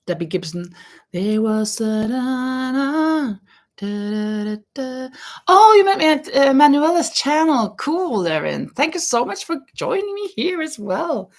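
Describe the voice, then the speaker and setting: A woman's voice: high voice, big pitch swings, a little slow. A woman from Norway hosting a crochet livestream from her home craft room, reading out viewer comments and counting stitches aloud with easy laughs. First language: Norwegian. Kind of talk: casual live chat while crocheting.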